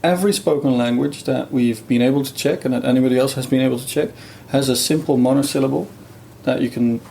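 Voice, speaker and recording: A man's voice: mid-range voice, fast talker, gravelly, dark tone, a little hiss or hum. A man whose first language is Dutch, explaining research in a thoughtful conversational way, with many fillers and restarts. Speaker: guest researcher.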